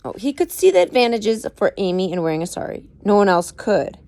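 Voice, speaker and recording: A woman's voice: medium pitch, animated, brisk, dark tone, no echo. A woman reading a book aloud expressively, with dramatic emphasis and informal explanatory asides. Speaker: parent reading aloud to children.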